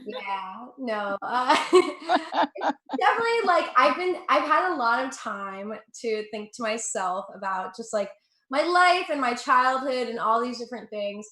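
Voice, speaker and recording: Young woman doing stand-up, American accent, high bright voice, lively delivery, echoey room. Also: unhurried. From Russia. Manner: chatty, profane, self-mocking, laughs at own jokes. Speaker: stand-up comedian. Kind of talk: stand-up set.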